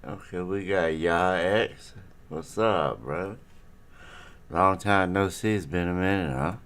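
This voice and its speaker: A man's voice: low voice, slow pace, fairly bright tone. A middle-aged man hosting a casual live stream from home, rambling as he chats with viewers, his nose stuffy. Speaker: livestream host.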